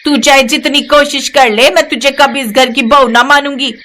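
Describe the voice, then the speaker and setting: A woman's voice: sits high, steady tone, moderate pace, bright, forceful tone. A woman voicing a bitter, taunting old mother-in-law in a dramatised moral story, nagging theatrically. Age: older. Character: bitter, scolding old mother-in-law berating her daughter-in-law.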